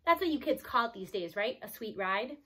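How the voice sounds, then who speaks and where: A woman's voice: on the high side, animated, fairly quick, sharp tone, breathy edge, exaggerated voice. A woman voicing a tiny, doting old grandmother in a storybook, teasing and cheerful, with lilting questions. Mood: playful.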